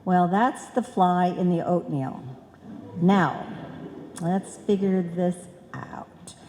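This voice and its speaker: A woman's voice: fairly low pitch, takes it slowly. A woman playing a scatterbrained, earnest wife who muddles her words in a live old-time radio comedy. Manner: muddled, malapropism-prone, animated. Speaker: radio play actor. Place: live stage in a hall. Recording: reverberant hall stage recording.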